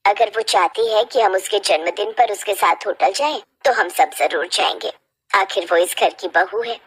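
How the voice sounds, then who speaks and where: Woman playing a gentle mother-in-law, lowered voice. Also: moderate pace, slightly rough. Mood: soothing. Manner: calm, soft-spoken, conciliatory. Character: gentle, patient middle-aged mother-in-law, soothing peacemaker.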